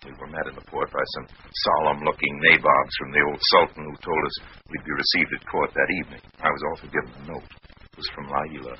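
An actor playing a worldly, nonchalant adventurer, wryly telling his exploits in a vintage radio drama. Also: very deep voice. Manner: wry, nonchalant. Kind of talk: 1950s radio drama narration and dialogue. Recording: dull, noisy old radio recording.

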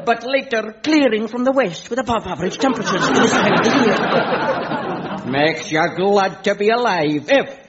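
Dour Highland servant. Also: male voice, animated, moderate pace, very noisy. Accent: Scottish. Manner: broad dialect, gloomy, drawn out. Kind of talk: comedy sketch on stage. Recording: dull sound with loud crowd.

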